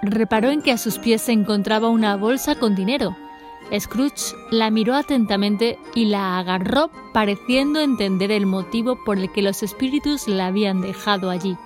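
A woman's voice: mid-range voice, moderate pace, faint music behind. A woman reading scripted storybook narration as the narrator of an audio drama.

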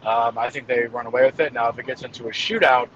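Man giving halting football picks, muffled sound. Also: mid-range voice, steady tone, noisy background. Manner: hesitant, thinking aloud as he goes. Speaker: football analyst guest. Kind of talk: casual game-prediction commentary.

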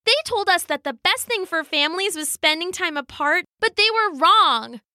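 A bold little girl from a storybook, played by a woman, speaks up in a high, bright voice. She is indignant and insistent, pushing each phrase out firmly. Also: lively intonation, normal speed, dry recording, clean studio sound, heavily acted. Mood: tearful relief, earnest. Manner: emphatic, insistent. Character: young girl Sasha, bold, defiant and indignant. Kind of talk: storybook character voice.

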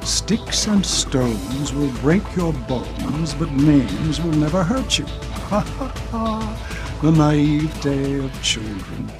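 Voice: mid-range voice, sing-song, slow pace. A performer voicing a mocking, ominous narrator figure in theatrical audio drama style. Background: music.

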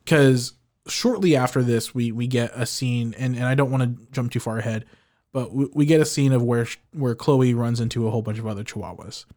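Animated male podcast co-host. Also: mid-range voice, fairly quick. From Filipino heritage, living in the United States. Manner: animated, stumbling restarts, laughs often. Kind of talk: casual movie review chat.

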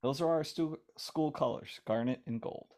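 A man's voice: medium pitch, normal speed, a little mellow. An American man from Hawaii co-hosting a podcast in casual conversation. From Hawaii, United States. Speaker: podcast co-host. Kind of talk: casual chat.

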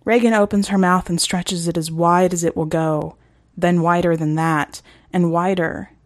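A woman's voice: fairly low pitch, fairly even intonation, moderate pace, no echo, studio quality. A woman reading scripted fiction as a narrator for a short story podcast. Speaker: podcast editor and story reader.

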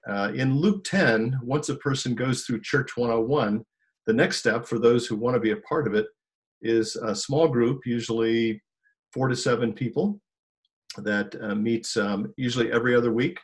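A man's voice: medium pitch, normal speed, poor audio. Hesitant man hosting a video interview. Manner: hesitant, many ums and uhs. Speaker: host.

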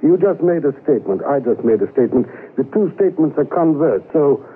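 An actor playing a cold, polished saloon-owning gambler in an old-time radio western, with formal, precise, challenging speech. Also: male voice, a little high, lively intonation, a little fast, slight background noise, natural voice. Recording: tinny, narrow-band radio broadcast.

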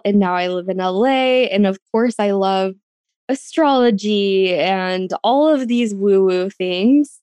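A woman's voice: mid-range voice, warm tone, clean tone, no echo. An American woman from rural Arizona hosting a podcast in a casual, gushing, conversational way. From rural Arizona, United States. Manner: enthusiastic, gushing. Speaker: podcast host.

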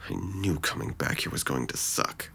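A man's voice: a bass voice, lively intonation, moderate pace, gravelly, sharp tone, breathy edge, exaggerated voice. A man acting a depressed, guilt-ridden and resentful young man in an audio drama, with a wounded, bitter delivery. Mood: bitter, hurt.

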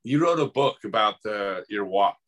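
A man's voice: on the low side, animated, moderate pace, a bit bright. A man hosting a casual interview who asks short clarifying questions. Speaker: host.

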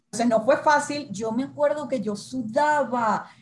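A woman who works as a TV news reporter tells her story in a conversational interview, acting out the dialogue with lively energy. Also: high-pitched, unhurried. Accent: Spanish-speaker's accent in English.

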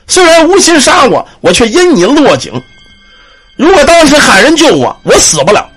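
Brief: storyteller putting on a shrill, ranting old codger, high and wildly swooping, husky edge. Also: male voice, sing-song, moderate pace, a bit bright, soft background music, full character voice. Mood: furious. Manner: scolding, accusing. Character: furious, bullying old man mistaken for a ghost.

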